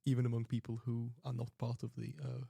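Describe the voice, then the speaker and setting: A man's voice: mid-range voice, monotone, fast, vocal fry, mellow, slightly rough, no echo. A young man hosting a student podcast, reading scripted links and asking questions with some hesitant restarts. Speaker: podcast host.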